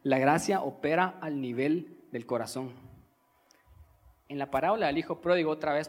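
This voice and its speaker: A man with a Guatemalan Spanish accent delivers a sermon as a preacher, emphatic and repeating his key phrases. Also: a little high.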